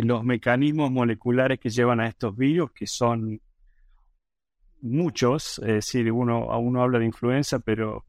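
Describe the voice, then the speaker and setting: A man's voice: medium pitch, no echo. Hesitant Argentine man, dry close sound. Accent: Argentine Spanish. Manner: thoughtful, many hesitations and restarts. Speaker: virology professor, guest. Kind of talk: interview answers.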